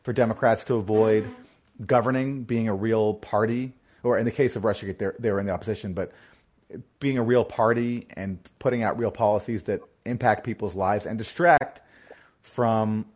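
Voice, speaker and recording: A man hosting a call-in show, answering callers in a measured, deliberate way, point by point. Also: fairly low pitch, steady tone, darkish tone. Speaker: show host.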